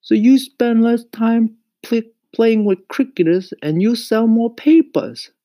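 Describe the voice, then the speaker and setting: A man's voice: extremely high pitch, slow, warm tone, no room sound, studio quality, pitched above the performer's own voice. A man voicing a stern, scornful mother in a storybook, scolding emphatically in stilted immigrant phrasing. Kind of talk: acted storybook reading.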